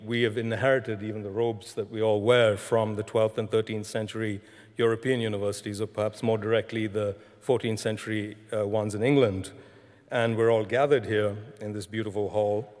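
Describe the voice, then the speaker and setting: A man giving a graduation speech in a measured storytelling manner, recorded in a large hall. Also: on the low side, darkish tone. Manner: measured, storytelling delivery with deliberate repetition. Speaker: graduation ceremony speaker. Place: large concert hall, live ceremony. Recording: echoing large concert hall.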